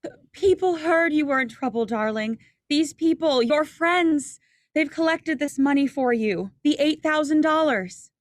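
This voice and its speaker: Actress as affectionate, eager young wife in an old-time radio play; high pitch, dry close sound. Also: female voice, animated, a little slow, no echo. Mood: excited, loving. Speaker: amateur actress. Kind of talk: 1940s radio play acting.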